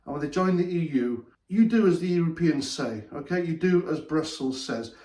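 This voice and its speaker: Welsh man hosting a rant video, blunt and heated, a bit high-voiced, heard from across a roomy space. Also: animated, normal speed, mellow. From Wales. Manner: blunt, ranting. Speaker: channel host. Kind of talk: opinion monologue. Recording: echoey room, distant mic.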